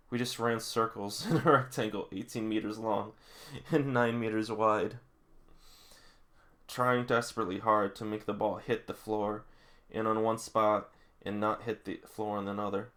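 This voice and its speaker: A man's voice: on the low side, fairly bright tone, close to an everyday voice. A man voicing earnest teenage boy athletes from a manga in a plain, heartfelt way.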